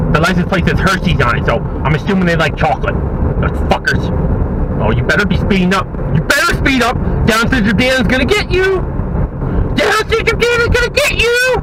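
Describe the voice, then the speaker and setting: A man voicing an angry driver giving a traffic report from his car, screaming and cursing at other drivers. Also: very high-pitched. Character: furious road-raging man reporting traffic. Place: inside a moving car. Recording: loud traffic noise in car.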